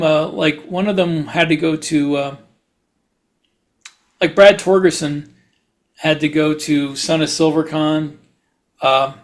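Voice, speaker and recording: A man chatting casually as a podcast host, rambling through anecdotes. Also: a little high.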